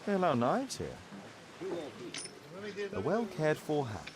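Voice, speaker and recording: A man's voice: on the high side, huge pitch swings, slow pace, crowd noise, heavily acted. A man playing an analytical video game detective who thinks aloud in a precise, matter-of-fact, deductive way. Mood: observant, deducing. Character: analytical male detective, observant, deliberate, plotting. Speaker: game protagonist.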